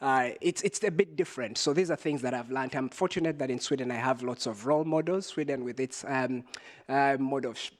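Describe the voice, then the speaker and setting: Reflective man. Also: medium pitch. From Uganda. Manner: reflective, measured, with frequent hesitations. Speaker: panelist. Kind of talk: panel discussion.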